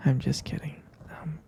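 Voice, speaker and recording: A man in an ASMR roleplay as a tender vampire boyfriend, whispering soothingly and haltingly to help his partner sleep. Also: a little hiss or hum. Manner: soft, halting, soothing whisper with murmured hums. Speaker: ASMR performer.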